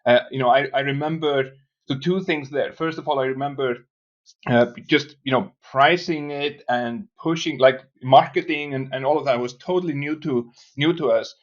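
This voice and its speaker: A man's voice: medium pitch, normal speed. Hesitant Icelandic man, muffled sound. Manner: hesitant, many restarts and uhs. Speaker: guest, startup founder. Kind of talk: casual interview talk.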